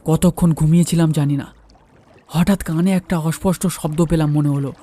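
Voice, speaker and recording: A man's voice: on the high side, fairly even intonation, moderate pace, mellow. A man telling a horror story as a storyteller, in dramatic first-person narration.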